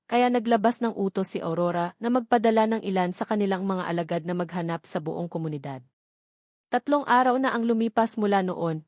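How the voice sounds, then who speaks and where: A woman's voice: mid-range voice, a little mellow, no echo, clean studio sound. A woman reading a novel aloud as a scripted audiobook narration.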